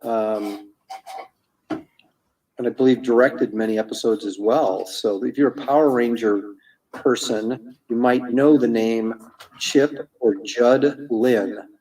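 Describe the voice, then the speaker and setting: A man's voice: mid-range voice, takes it slowly, darkish tone. Easygoing man, slightly distant mic. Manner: rambling, anecdotal. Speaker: comic artist streaming while inking. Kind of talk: casual chat while drawing.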